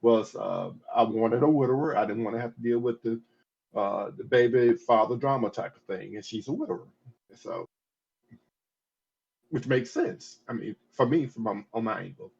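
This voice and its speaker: Husky man in his fifties, rambling. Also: medium pitch, animated, normal speed, darkish tone. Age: 50s. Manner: rambling, with many restarts and "I mean". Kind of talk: casual podcast conversation. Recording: dull lo-fi audio.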